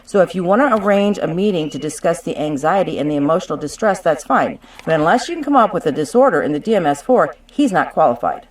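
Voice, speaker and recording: A woman's voice: lowish voice, animated, fast, few pauses, recorded from a distance. A woman arguing insistently and combatively over a legal dispute on a conference call, as a claimant representing her own case. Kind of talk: contentious legal phone discussion. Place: conference call, some distance from the mic.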